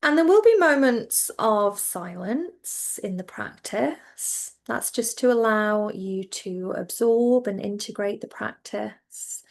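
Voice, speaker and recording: A woman teaching yoga nidra, guiding listeners into relaxation in a gentle, reassuring, informal way. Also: medium pitch, expressive, slow, vocal fry, hardly any pauses. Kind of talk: guided relaxation instruction.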